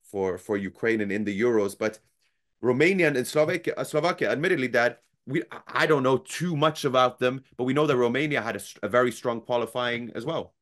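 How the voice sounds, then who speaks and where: Young man on a headset, measured pundit. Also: medium pitch, fairly bright tone. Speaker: football podcast co-host. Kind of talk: casual football chat. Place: home room with football shirts. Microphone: headset on video call.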